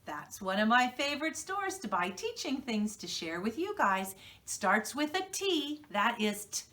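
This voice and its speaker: A woman's voice: on the high side, very animated, a little slow, sharp tone, distant mic. A woman with an American accent teaching young children to read, telling a story and prompting them with questions. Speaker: preschool teacher.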